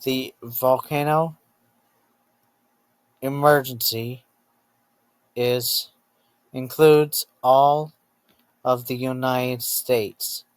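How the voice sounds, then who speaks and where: Male announcer, emergency bulletin reading, drawn-out halting pace, self-corrections, dry close sound. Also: medium pitch, steady tone, dry recording, rough recording. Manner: halting, word by word, often repeats and rephrases phrases. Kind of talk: scripted emergency alert narration for a fictional scenario.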